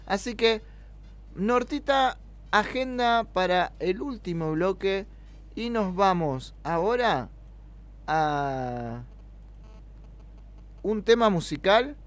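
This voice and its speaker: A radio host, chatting casually and teasing a co-host with quick questions. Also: medium pitch, expressive.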